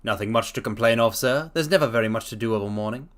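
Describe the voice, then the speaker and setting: A man voicing a humble male pawnbroker client who speaks quickly and deferentially. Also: mid-range voice, lightly acted.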